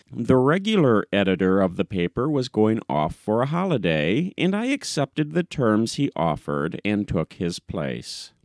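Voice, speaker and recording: A man's voice: on the low side, no room sound, clean studio sound. A man reading a literary short story as an audiobook narrator, in a scripted narration style.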